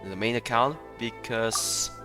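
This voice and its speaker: A man giving casual, rambling playthrough commentary on a game, often halting and chuckling between phrases. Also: medium pitch, music in the background. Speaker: gaming streamer.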